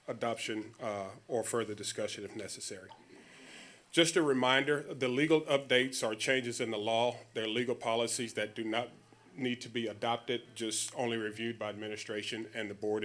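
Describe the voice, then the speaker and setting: A man presenting as a school district administrator at a board meeting, speaking in a measured, procedural way. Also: medium pitch, fairly bright tone. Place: public board meeting room.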